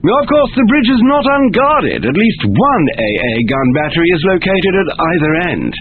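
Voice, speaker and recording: An actor playing a commanding military officer giving a formal, emphatic mission briefing in a video game, through a radio-like filter. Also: male voice, sits high, very animated, normal speed. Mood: commanding, urgent. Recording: tinny radio-like band, faint noise.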